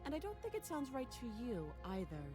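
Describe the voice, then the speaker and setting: A woman playing a captive princess in a visual novel, poised, persuasive and probing, with measured, challenging delivery. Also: on the high side, wildly swooping pitch, over music. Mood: reasoning, persuasive. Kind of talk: dramatic visual novel voice acting.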